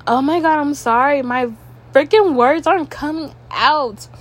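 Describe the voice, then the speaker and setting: A woman's voice: sits high, unhurried. A young woman with a stuffy nose, rambling casually at home as a podcast host, tripping over her words and swearing now and then.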